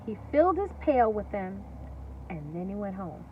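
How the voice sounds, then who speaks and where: A woman teacher reading a story aloud to young children, expressive and deliberate, pausing to explain and ask questions. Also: mid-range voice. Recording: distant, dull lo-fi recording with faint noise.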